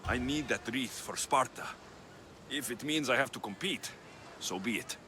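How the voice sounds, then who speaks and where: An actor playing a gruff, stubborn young Greek mercenary in a video game cutscene, terse and deadpan. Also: male voice, medium pitch, moderate pace, noisy background, natural voice. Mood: terse, determined.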